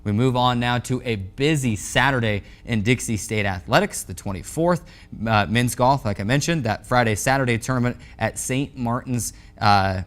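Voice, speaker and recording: A man's voice: medium pitch, moderate pace. An American man in his twenties hosting a sports show, presenting in a sportscast style. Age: 20s. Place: indoor TV studio sets. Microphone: lapel mic.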